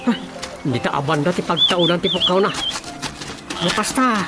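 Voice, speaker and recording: A woman's voice: lowish voice, expressive, moderate pace. A woman playing a bewildered jungle wanderer in a comic radio drama, with an Ilocano accent. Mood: musing. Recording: radio drama under loud music.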